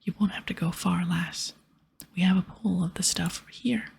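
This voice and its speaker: A woman voicing a gruff, bossy male pirate captain in a breathy ASMR whisper, with piratical dialect. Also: lowish voice, steady tone, moderate pace, darkish tone, a little hiss or hum. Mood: bossy, explaining. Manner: piratical dialect, explaining and ordering. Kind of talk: whispered ASMR game dialogue.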